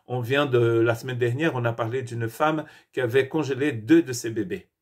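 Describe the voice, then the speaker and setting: A pastor delivers an earnest daily devotional, stumbling over words and restarting as he goes. His voice is husky and sits at an ordinary male pitch. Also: moderate pace. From Portuguese-speaking country. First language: Portuguese. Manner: earnest, with frequent restarts and hesitations.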